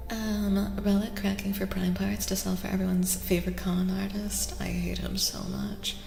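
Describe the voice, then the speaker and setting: A young adult woman speaking softly as an ASMR livestreamer and tarot reader, often dropping toward a whisper. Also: medium pitch, animated, normal speed, vocal fry. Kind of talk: soft-spoken ASMR livestream chat. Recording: reverberant echo with music behind. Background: music.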